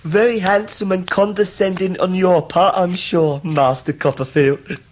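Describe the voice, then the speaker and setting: An actor playing an obsequious, scheming young law clerk in a radio drama, fawning and full of false humility. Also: male voice, high-pitched, steady tone, a little slow. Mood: gushingly humble. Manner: fawning, self-abasing, oily.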